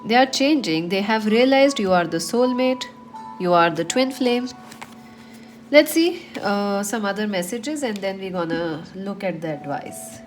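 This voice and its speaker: A woman's voice: medium pitch, animated, a little slow, faint music behind. Woman with a stuffy cold, reading tarot. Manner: chatty, many fillers, addresses viewers as friends. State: nasal from a cold. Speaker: tarot reader.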